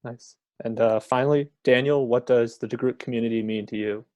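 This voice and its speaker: A young man moderating a student debate in a relaxed, filler-heavy way. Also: medium pitch, brisk, dry recording, muffled recording.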